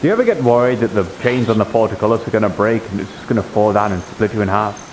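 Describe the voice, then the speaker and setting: A man's voice: lowish voice, quick pace, a little hiss or hum, close to an everyday voice, low-quality recording. A man playing a courteous, earnest guard with formal manners in improvised fantasy roleplay in a game. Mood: courteous, curious.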